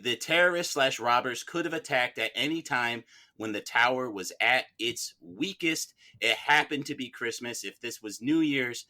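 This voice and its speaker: A man's voice: mid-range voice, normal speed, pushed and bright. A man arguing as defense counsel in a mock courtroom debate, argumentative and leaning on repeated phrases for emphasis.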